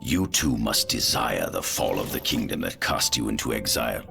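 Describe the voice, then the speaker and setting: An actor playing a sly, coaxing old male scientist-official in a video game cutscene, with gravelly, calculated persuasion. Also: very deep voice, expressive, moderate pace, full character voice. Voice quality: gravelly, creaky. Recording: loud orchestral music behind.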